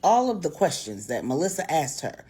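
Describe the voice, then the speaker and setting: A woman narrating a story for grownups in a dramatic, expressive way with a husky voice. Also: sits low, moderate pace. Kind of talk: narrated bedtime story for grownups, acting out dialogue.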